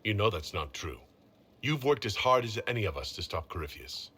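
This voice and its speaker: Husky, forceful hero leader. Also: male voice, lowish voice, very animated, fairly quick, heavily acted. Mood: reassuring. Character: supportive, good-humoured male Inquisition leader. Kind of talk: video game cutscene acting.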